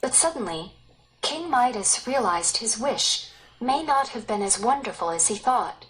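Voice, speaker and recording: A woman reading a story aloud in an expressive, deliberate way. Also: a little high, a little hiss or hum, poor audio. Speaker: story narrator. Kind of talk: scripted read-aloud storytelling.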